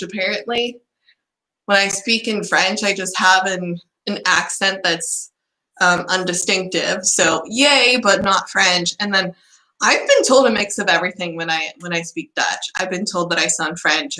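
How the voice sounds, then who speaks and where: A young woman talking casually to camera as a student vlogger. Her voice is bright and forward, and it is getting a little worn as she starts to lose it. She rambles in a frustrated, ranting way. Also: medium pitch, echoey room, low-quality recording. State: losing her voice. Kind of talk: casual vlog chat.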